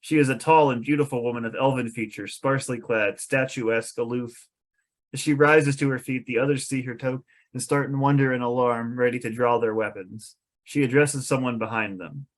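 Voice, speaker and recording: Man narrating stage directions, medium pitch. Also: steady tone, fairly quick. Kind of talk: scripted narration at a table read.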